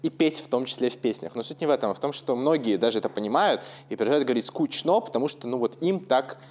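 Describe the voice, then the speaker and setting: A man's voice: mid-range voice, animated, fast talker, darkish tone. Man rambling casually on a podcast. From Russia. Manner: rambling and digressive, thinking aloud. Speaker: podcast co-host.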